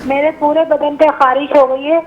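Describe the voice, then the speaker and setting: A woman's voice: high-pitched, level tone, moderate pace, a little hiss or hum. A woman from Karachi calling in with a sore throat, troubled as she describes her complaints. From Karachi, Pakistan. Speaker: caller. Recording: muffled phone line.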